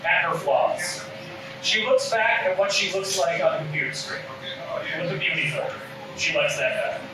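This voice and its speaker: A man's voice: sits high, animated, moderate pace. Echoey man narrating a comedy script. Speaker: table read narrator. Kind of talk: scripted comic narration of stage directions. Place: indoor room at a live table read. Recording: echoey room, distant mic, noisy.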